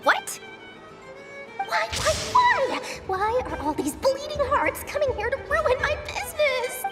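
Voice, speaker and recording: A woman voicing an excitable, greedy young merchant in a video game, theatrical and exclamatory, with wheedling sales talk. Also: very high-pitched, slow pace, full character voice. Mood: exasperated scheming. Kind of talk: animated video game acting. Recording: loud music behind the voice.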